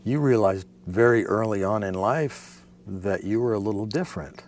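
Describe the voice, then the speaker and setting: A man hosting an interview, who asks questions in a calm, relaxed way and adds short prompts. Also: on the low side, normal speed. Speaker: interview host. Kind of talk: interview conversation.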